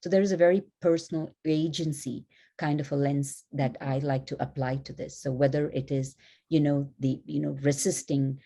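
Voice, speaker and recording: A woman panelist who grew up in India, speaking in a thoughtful, measured way with frequent "you know", joining a panel over a video call. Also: sits low, fairly even intonation, dark tone. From born in Malaysia, grew up in India. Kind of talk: panel discussion remarks.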